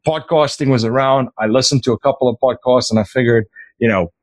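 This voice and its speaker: A man's voice: medium pitch, a little fast, dry recording. A middle-aged man, an Afrikaans speaker, talking casually in an interview, rambling with many fillers and restarts. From South Africa. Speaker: podcast host as interview guest.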